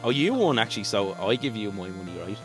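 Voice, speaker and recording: Male podcast co-host, deeper voice with animated swings, casual sweary chat, some music behind. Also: quick pace. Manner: sweary, easily amazed. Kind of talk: casual banter in a game playthrough.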